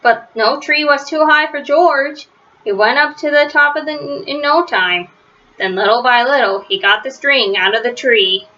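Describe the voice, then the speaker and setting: A woman reading a children's storybook aloud in an expressive way, with drawn-out emphasis. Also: high-pitched. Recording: dull, lo-fi sound.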